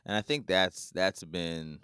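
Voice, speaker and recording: A man's voice: low-pitched, slow pace, pushed and bright, clean tone, no echo, clean studio sound. A man hosting a podcast, chatting casually and rambling through his questions. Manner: rambling, full of "like" and restarts. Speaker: podcast host.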